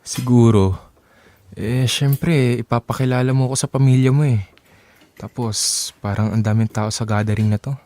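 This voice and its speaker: An actor playing a nervous, evasive boyfriend in an audio drama, speaking hesitantly. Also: male voice, mid-range voice, steady tone, normal speed, pauses often, dark tone, lightly acted.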